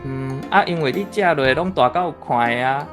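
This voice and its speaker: A woman's voice: rumbling low, fairly quick, music in the background. An actress playing a teasing, good-humoured female relative in a radio drama, bantering in a homely way with a Taiwanese accent.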